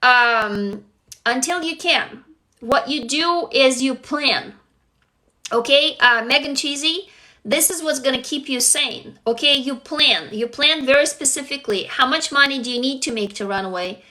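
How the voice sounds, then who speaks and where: A woman's voice: high voice, a little slow, pushed and bright. A young woman from Russia, whose first language is Russian, hosting a livestream and talking in an earnest, blunt way, swearing casually and reading viewer comments. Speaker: livestream host.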